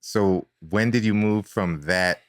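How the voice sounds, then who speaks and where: A man's voice: fairly low pitch, slow pace, dry recording, clean studio sound. A man hosting a podcast interview, chatting casually in an informal, slangy, joking way. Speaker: podcast host.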